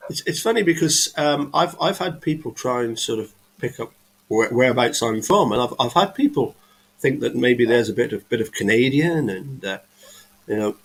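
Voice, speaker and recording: A man's voice: mid-range voice, animated, normal speed, gravelly, husky, poor audio. A man from England hosting a casual live chat over a video call, speaking hesitantly with many restarts and fillers. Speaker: host.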